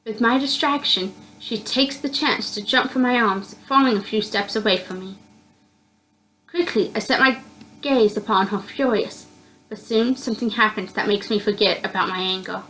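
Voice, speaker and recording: A woman reading a visual novel aloud in an expressive narrating style, now and then stumbling and repeating words. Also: medium pitch, normal speed, poor audio.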